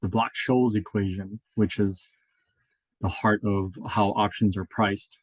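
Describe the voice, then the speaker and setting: A man's voice: on the low side, vocal fry, dry recording, low-quality recording. A middle-aged American man from Hawaii giving an informal lecture as an instructor, explaining things and often checking in with a short "right?". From Hawaii, United States. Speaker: instructor, experienced options trader. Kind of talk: informal classroom-style lecture with slides.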